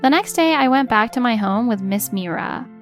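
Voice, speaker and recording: A woman's voice: on the high side, expressive, normal speed, faint music behind, lightly acted. A woman playing a middle-aged housewife who narrates and talks politely and earnestly in a manga dub. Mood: resolute, relieved. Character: long-silenced middle-aged housewife, polite, quietly resolute. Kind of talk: acted first-person narration and dialogue in manga dub.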